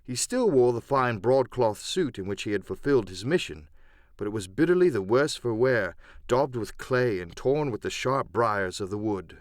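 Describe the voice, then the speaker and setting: A man reading dramatic first-person adventure narration for an audiobook, measured and literary. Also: medium pitch, lively intonation, no room sound, clean studio sound.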